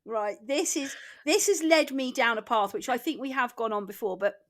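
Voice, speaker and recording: A woman in her thirties co-hosting a casual chat podcast, animated, laughing often and swearing freely. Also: on the high side, normal speed. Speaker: podcast co-host.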